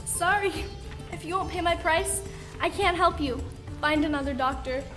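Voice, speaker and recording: Girl playing a very high-voiced, haughty woman surgeon. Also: moderate pace, over music. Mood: haughty, cold. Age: teenager. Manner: declamatory, dramatic delivery. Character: proud, haughty, desperate woman surgeon seeking healing. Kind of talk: school radio play acting.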